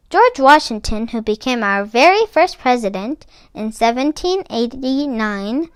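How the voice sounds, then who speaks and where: A woman with a notably high voice reads a children's picture book aloud. She takes her time and sets out each phrase deliberately, leaning on the important words. The sound is dry, with little room around her. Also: smooth, dry recording. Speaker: read-aloud book club host.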